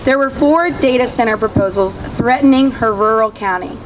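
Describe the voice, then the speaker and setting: High-pitched woman emcee with a strained voice. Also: normal speed. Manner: friendly, chatty introductions. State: losing her voice. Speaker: rally emcee. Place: outdoor rally. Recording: noisy outdoor rally.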